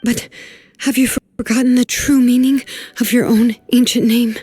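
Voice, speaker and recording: A resolute young woman from a fantasy video game. Her voice sits on the high side and she speaks firmly and earnestly, with a caring frankness. Music plays behind her. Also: flat delivery, normal speed, mellow, husky. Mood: solemn, reproachful. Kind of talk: video game character acting.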